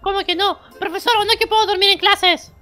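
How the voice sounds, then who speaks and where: Quarrelsome little child, extremely high, sharp, teasing, exclamatory, comic game roleplay, background music. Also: female voice, fairly even intonation, a little fast. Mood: indignant. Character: cheeky, quarrelsome little child, silly schoolmate. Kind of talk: improvised comic gaming roleplay.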